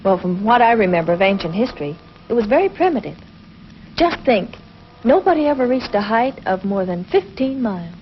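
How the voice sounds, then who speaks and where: An actress playing a bright, curious young scientist from the future in 1950s television science fiction, inquisitive and earnest. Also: female voice, mid-range voice, big pitch swings, brisk, lightly acted. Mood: curious, spirited. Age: young adult. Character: bright young female space-age scientist, curious and spirited. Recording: noisy, dull 1950s television soundtrack.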